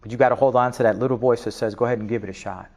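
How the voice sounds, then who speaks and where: A middle-aged man from Spanish Harlem with a New York accent, giving an animated interview, laughing often and restarting his sentences. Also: mid-range voice, racing. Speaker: interview guest, business leader. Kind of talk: casual interview talk.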